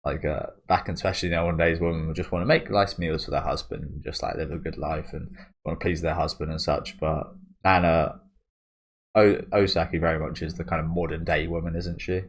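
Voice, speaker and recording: A man's voice: deep voice, steady tone, fairly quick. A man hosting a manga reaction video. He thinks aloud in a rambling, casual way, with many restarts and tag questions. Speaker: manga reaction channel host.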